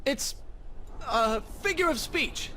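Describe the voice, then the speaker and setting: An actor playing a brooding, sardonic young warrior prince with a vengeful streak in a video game cutscene. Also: male voice, very high voice, animated, slow, bright, forceful tone, a little hiss or hum, exaggerated voice.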